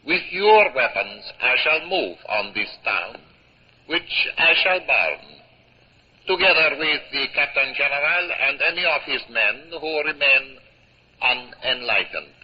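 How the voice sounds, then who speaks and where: An actor playing a grandiose, coolly cruel mad king in a 1950s radio drama, speaking in a pompous, measured way. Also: medium pitch, animated, breathy edge. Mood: coolly menacing. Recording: dull old 1950s radio recording.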